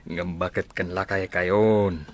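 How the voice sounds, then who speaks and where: An actor with a Filipino accent playing a supportive, teasing older best friend in a radio drama, chummy and conversational. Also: male voice, low-pitched, fairly even intonation, slow. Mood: curious, supportive.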